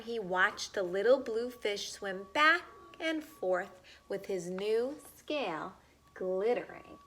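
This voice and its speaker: Bright, unhurried female storybook reader. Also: mid-range voice. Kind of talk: expressive read-aloud narration with questions to listeners.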